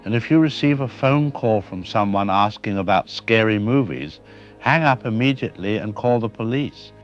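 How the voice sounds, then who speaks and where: A man playing a professor interviewed as an expert in a radio drama, speaking in a measured, analytical way. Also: on the low side, expressive, natural voice. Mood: calm, grave. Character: grave male professor explaining a killer's mind. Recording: dull lo-fi radio sound with faint music.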